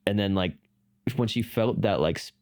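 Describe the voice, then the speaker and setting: A young man co-hosting a podcast, telling stories in a relaxed, casual way with lots of filler words. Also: fairly low pitch, moderate pace. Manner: relaxed storyteller, many fillers. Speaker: podcast co-host. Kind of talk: casual chat.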